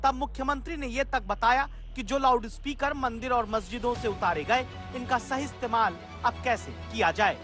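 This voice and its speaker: A woman's voice: a little high, moderate pace, few pauses. A woman presenting a dramatic, scripted news report. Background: loud music bed.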